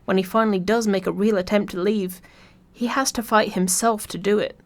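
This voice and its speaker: A woman's voice: medium pitch, fairly even intonation, fairly quick. A woman reading scripted video essay narration in a wry, informal way.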